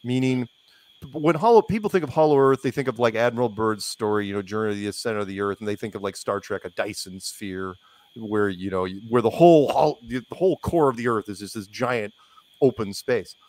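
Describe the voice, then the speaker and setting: A man's voice: lowish voice, a little fast, darkish tone. A man talking casually as an interview guest, opinionated and rambling, repeating phrases for emphasis.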